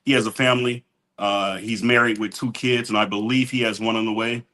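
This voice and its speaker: A man's voice: on the low side, steady tone, fairly quick, bright, forceful tone. A man co-hosting a podcast, talking through his week in a plain, matter-of-fact way. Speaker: podcast co-host, business owner. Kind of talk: casual chat.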